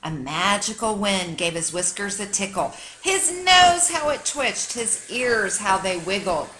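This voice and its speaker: A woman reading a children's picture book aloud like a teacher, with expressive, dramatic storytelling for young listeners. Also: medium pitch, measured pace, slight background noise, poor audio.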